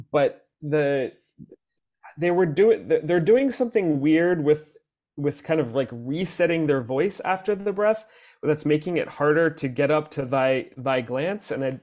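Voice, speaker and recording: A man speaking conversationally as a choir teacher asking questions, halting as he searches for words. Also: on the high side, darkish tone. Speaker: choir teacher attending the session.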